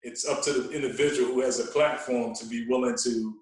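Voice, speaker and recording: Male podcast guest, very echoey room, slightly off-mic, earnest and deliberate, repeats for emphasis. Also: medium pitch, steady tone. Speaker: podcast guest, radio DJ and fitness coach. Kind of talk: casual conversational explaining. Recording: roomy echo, distant from mic.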